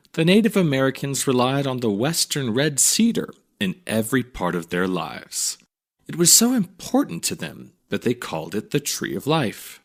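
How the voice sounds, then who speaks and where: Guy reading a kids educational article out loud, really slow, super up and down melody in his voice. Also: medium pitch. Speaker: narrator reading a children's magazine article. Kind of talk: scripted educational storytime narration.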